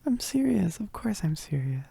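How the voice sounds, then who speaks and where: Shy, needy werewolf roommate for roleplay ASMR, played by a performer: very dark, soft voice with creaky edges, halting and affectionate. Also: medium pitch, big pitch swings, full character voice. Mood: embarrassed, tender. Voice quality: soft, breathy, husky. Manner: bashful, halting, giggly, often dropping to whispers. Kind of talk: roleplay ASMR, intimate one-sided dialogue.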